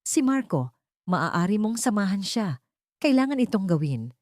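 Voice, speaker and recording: Woman as a calm, firm policeman. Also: medium pitch, unhurried, no room sound, clean studio sound, pitched above the performer's own voice. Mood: softened, firm. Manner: calm, determined, official. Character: male police officer, calm, firm, serious.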